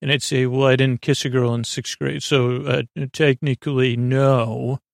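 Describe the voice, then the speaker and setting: Creaky-voiced man rambling at bedtime. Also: medium pitch, level tone, dry recording, studio quality. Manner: meandering, halting, trails off and repeats himself; gently goofy, self-deprecating. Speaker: podcast host. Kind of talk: rambling bedtime monologue for falling asleep.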